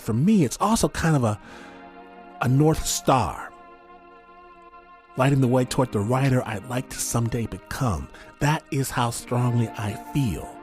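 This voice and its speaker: Expressive man hosting stories over music. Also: mid-range voice. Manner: theatrical, deliberate dramatic pauses. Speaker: host of a storytelling show. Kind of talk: dramatic scripted narration. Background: music bed.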